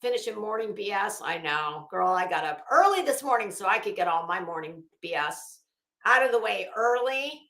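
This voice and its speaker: A woman's voice: mid-range voice, animated, moderate pace, fairly bright tone. A woman hosting a livestream in casual chat and commentary, with a blunt, sarcastic, salty and emphatic way of talking. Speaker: livestream host. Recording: roomy, echoing home recording.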